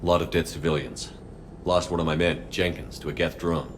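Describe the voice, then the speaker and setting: A man's voice: deep voice, fairly even intonation, a little fast, noisy background, lightly acted. A man acting a steadfast military commander in a video game, firm and restrained. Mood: resolute.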